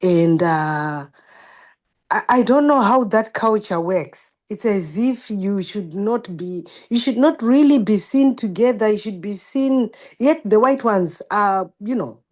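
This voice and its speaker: A woman's voice: medium pitch, darkish tone. A woman on a video call who tentatively raises a workplace observation and asks the group whether others share it. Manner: tentative, questioning, searching for words. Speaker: workshop participant.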